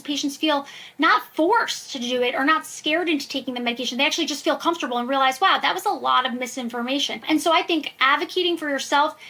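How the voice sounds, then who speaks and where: Female medical expert for podcast interview: very high, forceful bright voice, emphatic and reassuring explainer. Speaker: guest expert, preventive cardiologist. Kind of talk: conversational podcast interview answers.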